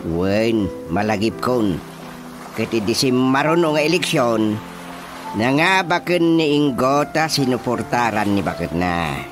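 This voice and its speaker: A man's voice: medium pitch, lively intonation, measured pace, music in the background, natural voice. A man acting an older father figure in a radio drama, with an Ilocano accent, weighing up village politics. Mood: thoughtful, doubtful.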